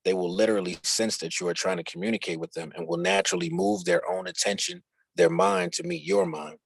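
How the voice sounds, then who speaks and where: A man with a husky, gravelly voice reading a written instructional dialogue aloud. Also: fairly high pitch, expressive, fairly quick. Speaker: reader of a book chapter.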